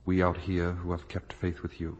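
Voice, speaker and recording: An actor playing an earnest, morally outraged young son in 1950s radio drama, with a husky voice and intense delivery. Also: male voice, sits low, completely flat delivery, moderate pace, darkish tone, lo-fi sound, slight background noise, lightly acted. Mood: grave, aching. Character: earnest, morally outraged grown son confronting his parents.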